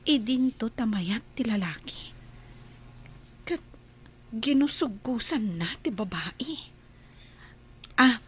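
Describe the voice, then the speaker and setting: An actress playing a gentle, patient woman who coaxes a child to talk and murmurs her thoughts aloud. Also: on the high side, slight background noise. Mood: coaxing. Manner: soft, coaxing, often whispered asides.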